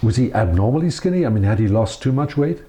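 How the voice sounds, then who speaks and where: A man interviewing an older woman about her life, gently prompting her with short follow-up questions. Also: mid-range voice, lively intonation, fast talker.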